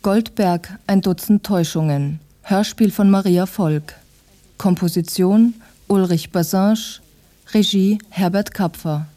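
Woman announcer reading radio play credits, real slow and mellow. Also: medium pitch.

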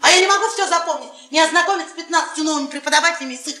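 An actress playing a brash, mocking middle-aged wife who taunts and repeats herself in a theatrical radio play. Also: female voice, high-pitched, very fast, sharp tone. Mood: tipsy defiance. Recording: roomy stage sound with audience noise.

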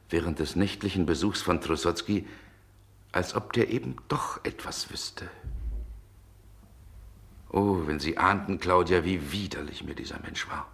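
An actor playing a reserved, irritable gentleman with a measured, formal and direct manner in an old radio play. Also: low voice, exaggerated voice. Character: reserved, irritable gentleman, former lover, probing. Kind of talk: 1960 German radio play acting. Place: radio drama studio.

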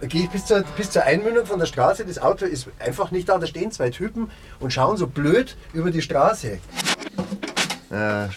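An actor playing a chatty band musician who talks haltingly and repeats himself, in naturalistic radio drama. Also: male voice, a little high, sing-song, music in the background. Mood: flustered.

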